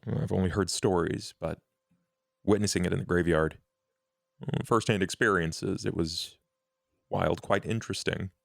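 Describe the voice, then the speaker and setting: A man playing a courtly, world-weary vampire in a tabletop roleplay, speaking gravely in formal, deliberate, ornate phrases. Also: low voice, steady tone, dry recording, clean studio sound, full character voice. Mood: grave, musing. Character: measured, scheming male vampire named Duke, courtly and world-weary.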